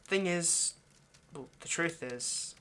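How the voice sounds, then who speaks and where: Bright-voiced, hesitant lovesick vampire played by a man. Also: a little high, slight background noise. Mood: nervous, rambling. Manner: hesitant, halting, rambling, coaxing. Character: anxious, lovesick seven-hundred-year-old male vampire kidnapper. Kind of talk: intimate ASMR roleplay monologue addressed to the listener.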